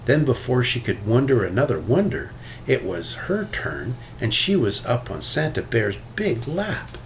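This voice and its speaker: A man reading a children's story aloud with expressive, animated delivery. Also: medium pitch, a little slow, a little hiss or hum, poor audio. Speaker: storybook reader.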